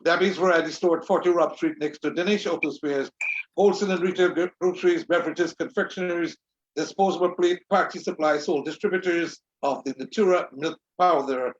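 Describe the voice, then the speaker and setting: A man's voice: on the high side, steady tone, brisk, a little hiss or hum. A man hosting an online show, speaking formally and courteously and reading sponsor messages. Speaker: host. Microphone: video call. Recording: echoey, dull online audio.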